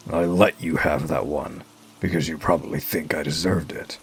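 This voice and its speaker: A man playing a grim, commanding masked vigilante in a comic book dub, terse and threatening in a gravelly, husky growl. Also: rumbling low, steady tone, normal speed, a little hiss or hum. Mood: stern warning.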